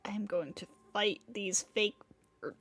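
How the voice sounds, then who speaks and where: A woman's voice: mid-range voice, measured pace, fairly bright tone, breathy edge. A woman giving casual live commentary as she streams a video game.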